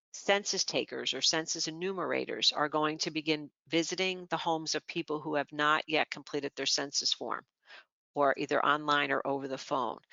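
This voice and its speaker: Presenter going thru slides one by one, smooth clear voice, bit muffled lo-fi webinar sound. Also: mid-range voice, no echo. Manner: steady, explanatory, walks through each slide point by point. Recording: slightly dull lo-fi webinar audio.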